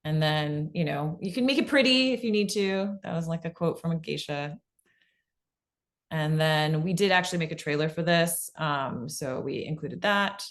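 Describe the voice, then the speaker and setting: A woman with a fairly deep voice presents to a class on a video call. Her delivery is casual and conversational, with emphatic points and frequent little check-ins. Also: normal speed. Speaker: podcast pitching workshop presenter.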